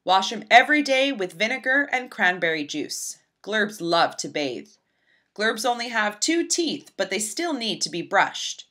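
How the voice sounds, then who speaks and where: A woman reading a children's picture book aloud in an expressive, emphatic storybook style. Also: mid-range voice, measured pace. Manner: animated, emphatic, storybook delivery.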